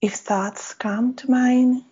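A woman guides a meditation very slowly and gently, pausing between short phrases. Her voice is mellow and slightly husky. The recording is a little dull. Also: high-pitched, steady tone. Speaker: meditation guide, faculty member. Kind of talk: guided body scan meditation. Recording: slightly dull, muffled audio.

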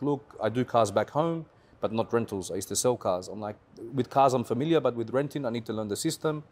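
A man, a car rental business owner, telling his story as a guest in a casual interview, animated and repetitive, with slang. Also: mid-range voice. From Australia.

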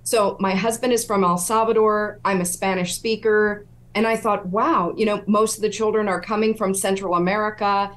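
A middle-aged woman speaking as a podcast guest, with a voice of middling pitch for a woman. She recounts her experience earnestly, step by step. She sounds steady and engaged in the conversation. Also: normal speed, hardly any pauses. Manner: earnest, storytelling. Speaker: whistleblower guest. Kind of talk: interview.

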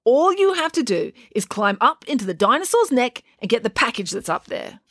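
Woman acting a curt, bossy female crook, raised pitch. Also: very animated, fairly quick, fairly bright tone. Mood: commanding. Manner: snapping orders.

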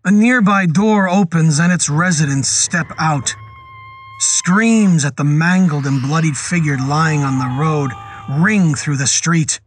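A man narrating a scripted horror story dramatically, with suspenseful and deliberate phrasing. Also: on the high side, faint music behind.

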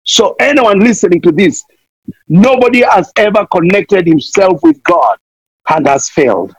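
A man's voice: high-pitched, sing-song, a little slow, creaky, husky, dry recording. A man from Kenya, preaching fervently as a missionary guest, pleading again and again and playing on words. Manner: impassioned, repeats pleas, wordplay. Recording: dull lo-fi dry sound.

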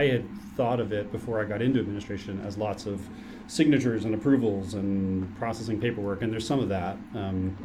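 Middle-aged male academic, low voice, plainspoken and casual, background noise. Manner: candid and low-key, hedging with um and you know. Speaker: arts and sciences dean. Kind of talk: casual interview answers. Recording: noisy room recording.

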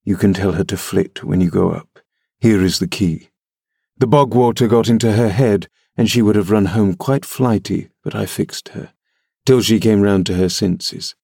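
A man's voice: lowish voice, normal speed, gravelly, warm tone, breathy edge, no echo, studio quality. A man voicing a cold, scheming master of the house who gives curt orders. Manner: curt, commanding.

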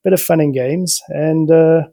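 A man's voice: fairly high pitch, measured pace, creaky, mellow, dry recording, clean studio sound. A man with a mixed English and South African accent, a real estate agent answering interview questions in a friendly way with fillers like uh. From England, raised in South Africa. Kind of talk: interview conversation.

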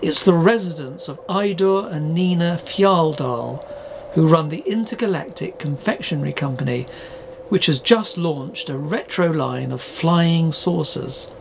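Casting: male actor as earnest, courteous podcast reporter, highish voice with vocal fry, scripted audio drama. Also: a little slow, a little hiss or hum. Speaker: podcast host.

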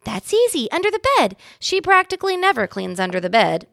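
A woman's voice: fairly high pitch, very animated, a little fast, gravelly, a bit bright, no echo, studio quality, voice pushed up, exaggerated voice. A woman voicing a young male mouse who is eager, boastful and pleading in a storybook reading. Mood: confident.

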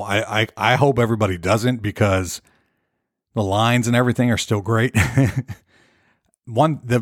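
Hoarse man rambling on his podcast. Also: lowish voice, no room sound, clean studio sound. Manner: rambling, loose and chatty. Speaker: podcast host. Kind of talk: casual solo podcast rambling. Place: Nashville.

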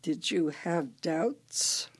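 A woman's voice: sits low, lively intonation, drawn-out words, slightly rough, exaggerated voice. A woman playing an imperious, brittle older wife with a failing memory in a radio play, her delivery theatrical and needling. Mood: flustered, anxious.

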